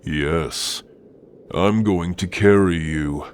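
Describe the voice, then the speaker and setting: A hulking orc warrior with an exceptionally deep voice. He speaks slowly and sternly, with archaic, menacing phrasing and dark amusement, in an ASMR fantasy roleplay. Some background noise sits behind him. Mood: menacing, amused. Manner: slow, archaic, threatening phrasing.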